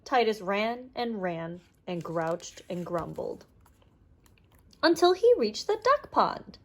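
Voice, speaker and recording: A woman reading a children's storybook aloud in an animated narrating style. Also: medium pitch, slow pace. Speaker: children's audiobook reader.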